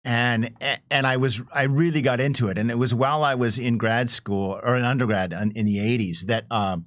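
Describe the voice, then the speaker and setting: A middle-aged man reminiscing casually as a panel guest, enthusiastic and colloquial, in halting phrases. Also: mid-range voice, fairly even intonation, a bit bright, no echo, clean studio sound.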